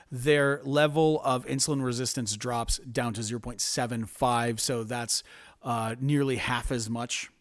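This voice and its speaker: An American man giving an informal, explanatory health presentation in a measured, methodical way. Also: mid-range voice, dry recording, clean studio sound. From United States.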